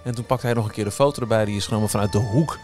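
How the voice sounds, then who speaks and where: A man's voice: lowish voice, rapid-fire, darkish tone, faint music behind. A man hosting a podcast, chatting casually with co-hosts about theme park news. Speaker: podcast host.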